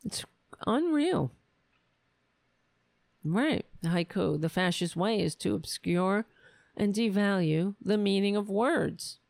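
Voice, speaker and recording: A woman hosting a solo political commentary show, ranting angrily with profanity while her voice is going hoarse. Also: medium pitch, lively intonation, slow pace, long pauses, a little mellow, dry recording. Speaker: host.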